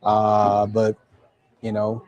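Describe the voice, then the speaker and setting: A man with a raspy, hoarse voice hosts a relaxed golf conversation, his tone dark and mellow. He talks easily, with frequent fillers and restarts, as if chatting in an indoor simulator bay. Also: on the low side, very slow, dry recording, muffled recording. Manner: easygoing, many fillers and restarts. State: hoarse from days of talking. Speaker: golf club host. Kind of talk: casual live chat.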